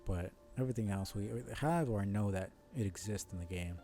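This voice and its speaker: A man giving casual live commentary on a video game, musing and muttering to himself in an unhurried way as he thinks aloud. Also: on the low side, warm tone, faint music behind.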